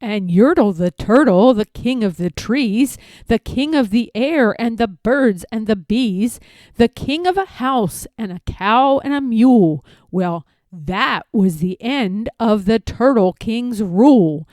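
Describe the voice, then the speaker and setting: A woman narrating a rhyming children's storybook in an expressive read-aloud style. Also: a little high, slow pace, dry recording.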